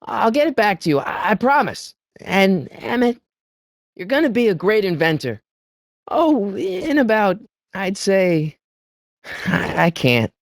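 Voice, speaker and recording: An excitable teenage boy hero from a video game, with a swooping, animated delivery. He is earnest and trips over his words when flustered. The recording is dry and close, with no room sound. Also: sits high, slow, gravelly, long pauses, no room sound, exaggerated voice. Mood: earnest, hesitant.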